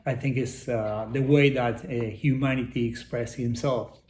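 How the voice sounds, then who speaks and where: A man's voice: medium pitch, fairly even intonation, darkish tone, echoey room, a little hiss or hum. A middle-aged man from Argentina with an Argentine Spanish accent, speaking English as a second language and searching for words in a casual interview. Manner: halting, searching for English words, many restarts. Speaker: interview guest, artist.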